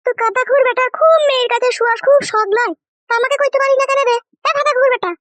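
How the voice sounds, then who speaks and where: Very shrill, childlike scolding cartoon wife. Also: female voice, steady tone, racing, a bit bright, dry recording. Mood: threatening, angry. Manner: scolding, threatening, heavy rustic swearing. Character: foul-mouthed, indignant wronged wife of a politician. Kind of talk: comic cartoon dialogue.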